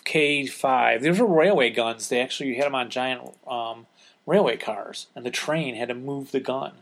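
A man's voice: mid-range voice, moderate pace, fairly bright tone. A man hosting a podcast, reading listener letters and chatting casually with an explanatory, enthusiast manner. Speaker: podcast host.

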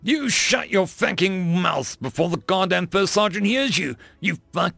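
A man acts out a brash Marine corporal, bossy and profane, barking military banter. The voice is pitched up and creaky, swinging widely, in a dry close recording. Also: big pitch swings, normal speed, sharp tone, no room sound, faint music behind, exaggerated voice. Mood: angry snapping.

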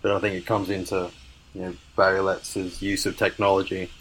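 A man's voice: low-pitched, monotone, a little fast, fairly bright tone, a little hiss or hum, poor audio. A man talking on a podcast, with understated, dry humour. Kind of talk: casual podcast discussion.

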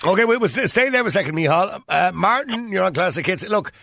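A man's voice: high voice, quick pace, gravelly, no echo. An Irish radio host runs a phone-in, cutting in and pushing back on his callers. His voice swings widely up and down, and the sound is close and dry. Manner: lively, challenging, jumps in to steer the talk. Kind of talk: talk radio phone-in hosting.

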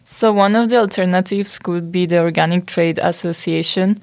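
A woman's voice: lowish voice, fairly even intonation, moderate pace, a little mellow. A young woman from Spain, co-hosting a student podcast and reading from notes with small stumbles. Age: young adult. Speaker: podcast co-host.

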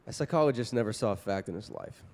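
Measured radio-play psychologist. Deep-leaning, mellow tone, grave and advisory. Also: male voice, expressive. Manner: deliberate, advisory. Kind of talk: old-time radio drama acting.

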